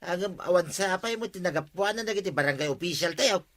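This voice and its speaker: Actor doing a grumbly fed up village man, ilocano comedy drama, slightly high voice. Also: fast talker, fairly bright tone. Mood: grumbling.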